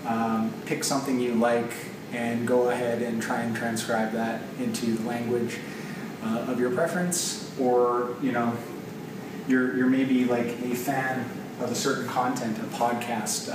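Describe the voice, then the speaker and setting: A man's voice: medium pitch, level tone, unhurried. A man in his thirties with a Canadian accent, giving an informal, explanatory presentation and thinking aloud in a conversational way. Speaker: software developer presenting a product. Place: large open office loft, indoors. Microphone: camera mic a few metres away. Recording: echoey open room, distant camera mic, noisy. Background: clear background room noise.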